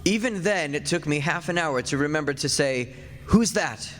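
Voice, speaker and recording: A man's voice: on the high side, moderate pace, fairly bright tone, a little hiss or hum, close to an everyday voice. An actor playing a very old, henpecked husband of a witch, narrating a radio play in a wry, grumbling, confiding way. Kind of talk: stage radio play, 1940s-style narration. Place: theatre stage before an audience. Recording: echoey stage hall, music behind.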